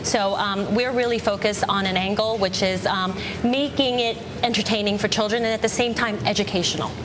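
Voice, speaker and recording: A woman's voice: fairly even intonation, a little fast, over music, low-quality recording. A woman in her thirties with an American accent, answering questions as an entrepreneur guest in a broadcast interview. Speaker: guest entrepreneur, company co-founder. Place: open TV studio beside a newsroom. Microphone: lapel. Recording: clear background rumble and noise.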